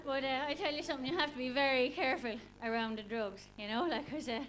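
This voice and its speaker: A woman's voice: high voice, fast, fairly bright tone. A woman with an Irish accent doing a stand-up impression of a naive, chatty female athlete, rambling along with lots of verbal tags. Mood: chatty, confiding. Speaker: comedy show host, stand-up comedian. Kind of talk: stand-up monologue in character. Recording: live stage with crowd, faint noise. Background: live audience.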